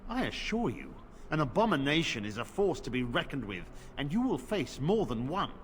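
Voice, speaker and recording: An actor playing a stern middle-aged knight commander in a video game, grave and commanding. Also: male voice, a little high, very animated, moderate pace, slight background noise. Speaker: game character.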